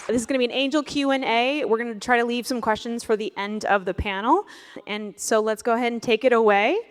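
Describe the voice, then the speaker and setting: A woman's voice: fairly high pitch, fairly even intonation, fast, slight background noise. A woman moderating a live fan convention panel, introducing the guests in an upbeat, announcer-like way.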